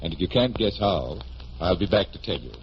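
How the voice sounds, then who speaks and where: An actor playing a confident magician detective, explaining with assured showmanship in 1940s radio style. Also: male voice, sits low, lively intonation, a little fast. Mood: patient explaining. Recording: muffled, noisy vintage radio recording.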